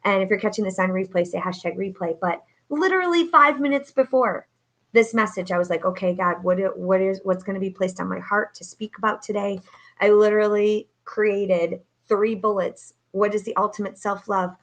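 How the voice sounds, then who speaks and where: A woman's voice: medium pitch, normal speed. A woman hosting a live online talk as a coach, upbeat and preacherly, speaking from a home setup. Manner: upbeat, preacherly, many fillers. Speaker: host and coach. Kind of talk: live-streamed motivational talk. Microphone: home setup, no studio.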